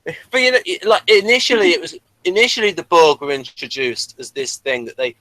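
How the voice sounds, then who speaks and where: A man's voice: on the high side, fairly quick, pushed and bright, no echo, rough recording. A man hosting a casual podcast review, thinking aloud in a hesitant way. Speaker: podcast host. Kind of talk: casual chat reviewing a TV episode.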